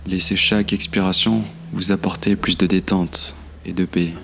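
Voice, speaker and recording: A man leading a guided meditation in a calm, soothing, measured delivery with long pauses. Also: low voice, creaky, slight background noise. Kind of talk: slow guided meditation narration. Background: meditation music.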